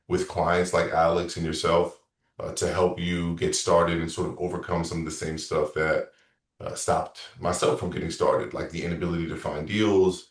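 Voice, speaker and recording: A man's voice: sits low, echoey room, far from the mic. A man in his thirties, a real estate coach, talking casually and rambling a little through a sales consultation on a video call. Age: 30s. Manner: relaxed, rambling, self-correcting.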